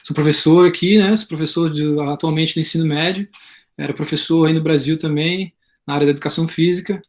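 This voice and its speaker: A man in his thirties from Brazil, a teacher and sports psychology consultant, introducing himself in a relaxed, friendly way over a video call. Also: a little high, fairly quick. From Brazil, Florianópolis. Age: 30s. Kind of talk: casual self-introduction.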